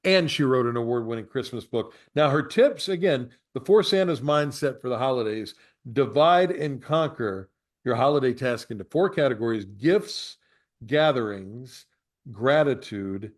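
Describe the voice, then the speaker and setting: A man hosting an interview, upbeat and steering the talk with questions and quick summaries. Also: mid-range voice, animated.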